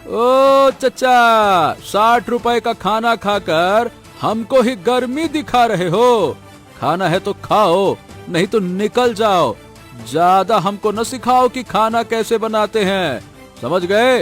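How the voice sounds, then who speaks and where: A man's voice: sits high, takes it slowly, a bit bright, faint music behind. A man voicing a rude, impatient village eatery owner in a Hindi cartoon, brusque and nagging. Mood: irritated. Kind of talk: Hindi cartoon story dialogue.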